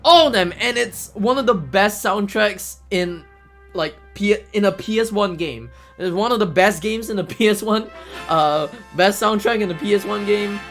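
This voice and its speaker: A man's voice: sits high, normal speed, a bit bright. A man giving casual, hesitant live commentary as he speedruns a video game, often repeating words. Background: game music.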